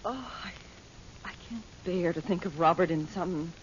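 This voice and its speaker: A woman's voice: lowish voice, normal speed, close to an everyday voice. An actress playing an anxious, plaintive mother in an old-time radio drama. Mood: anguished, plaintive. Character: anxious, grieving mother longing for lost man. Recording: muffled, hissy old radio recording.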